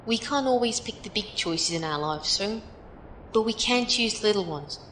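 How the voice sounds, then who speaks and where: A woman's voice: lively intonation, normal speed, fairly bright tone, close to an everyday voice. A woman playing a plainspoken, philosophical survivor in an audio drama, giving encouraging, matter-of-fact advice. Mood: earnest, reassuring. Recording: roomy echo, faint background rumble.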